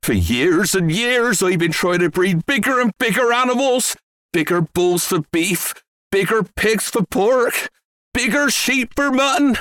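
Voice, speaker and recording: A storyteller voicing an excitable farmer father who blusters and exclaims. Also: medium pitch, unhurried, no echo, pitched above the performer's own voice. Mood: eager.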